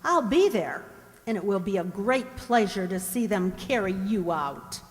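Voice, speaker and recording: A woman's voice: mid-range voice, animated, unhurried, lightly acted. A woman playing a bold yet tender young frontier woman in a radio drama, speaking directly and expressively. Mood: defiant, angry. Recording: reverberant hall.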